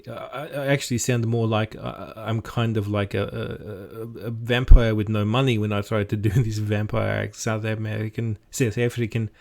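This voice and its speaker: Chatty Australian man, lowish voice. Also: fairly even intonation, moderate pace, vocal fry, few pauses, darkish tone. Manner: chatty, self-deprecating, encouraging. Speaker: game master giving tips. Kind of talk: casual instructional talk.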